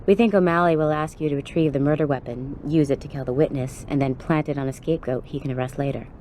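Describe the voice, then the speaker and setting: A woman acting as a tough policewoman in a game cutscene, with a very low, mellow voice. She talks bluntly and informally, like someone who knows the street. Faint noise sits behind her. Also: fairly even intonation, fast talker, close to an everyday voice. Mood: brisk, businesslike.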